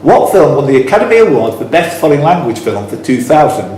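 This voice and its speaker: Quizmaster, very echoey room. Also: male voice, mid-range voice, sing-song, quick pace. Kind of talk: reading quiz questions with informal banter. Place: echoey indoor club room. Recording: very echoey room, faint background noise.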